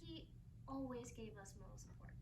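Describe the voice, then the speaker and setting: Young actress as high-voiced migrant farm girl. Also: animated, normal speed, a little mellow, natural voice. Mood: reminiscing. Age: young adult. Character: migrant farm girl remembering plum picking with family. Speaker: student actor. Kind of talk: theatrical two-person oral history storytelling. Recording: distant mic, faint room noise.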